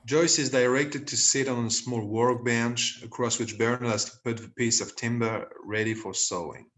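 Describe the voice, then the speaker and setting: A man's voice: medium pitch, fairly even intonation, normal speed, fairly bright tone. A man giving scripted narration, reading out the stage directions of a comedy play.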